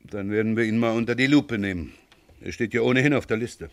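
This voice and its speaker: A man acting a police chief inspector in a radio crime play, with a low voice in a dry, close recording. He is brisk and sceptical, giving orders with gruff authority. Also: dry recording, close to an everyday voice. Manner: commanding, dryly gruff. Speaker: actor.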